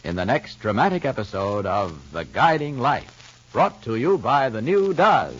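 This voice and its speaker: A man's voice: sing-song, unhurried, fairly bright tone, a little hiss or hum. Old-time radio announcer guy, swoopy dramatic voice, muffled lo-fi. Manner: dramatic, booming, persuasive. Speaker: announcer and narrator. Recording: dull lo-fi old radio recording.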